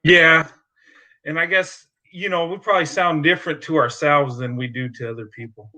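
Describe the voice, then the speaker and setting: A man hosts a live stream in easygoing chat, reassuring his guest and laughing often. His voice is bright and fairly high, and his talk is full of "uh" fillers. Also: fairly even intonation, moderate pace, rough recording.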